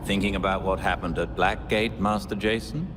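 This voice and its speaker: A man playing a composed older butler in a video game, formal, polite and measured. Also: low-pitched, fairly even intonation, background noise, natural voice. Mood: calm, concerned. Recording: long reverb under music.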